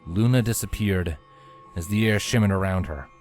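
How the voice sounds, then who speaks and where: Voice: low-pitched, moderate pace, darkish tone, faint music behind. A narrator reading scripted fiction aloud as storytelling. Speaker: narrator, fanfiction reader.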